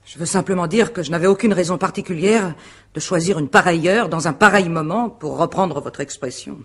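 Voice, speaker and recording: Guarded female suspect, husky, fairly low-key formality, defensive, precise wording, radio mystery drama. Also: fairly quick. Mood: uneasy, defensive. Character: defensive, guarded married woman questioned as a suspect. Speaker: actor. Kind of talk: French radio mystery play acting.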